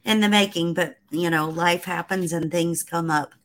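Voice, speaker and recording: A woman's voice: on the low side, fairly even intonation, normal speed. A woman hosting a casual podcast interview, upbeat and encouraging toward her guest. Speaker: podcast host.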